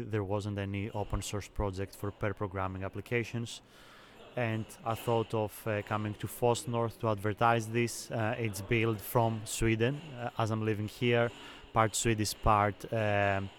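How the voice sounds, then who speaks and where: A young man from Greece, a software engineer, calmly explaining technical work in an interview. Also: on the low side, moderate pace, slight background noise. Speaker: software engineer, conference speaker. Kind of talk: interview answers.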